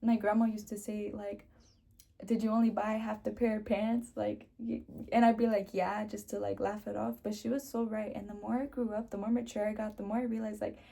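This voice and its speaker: A woman's voice: mid-range voice, flat delivery, a little fast, creaky, mellow. A young woman with a sore throat, chatting casually to camera as a beauty vlogger and sprinkling her talk with "like".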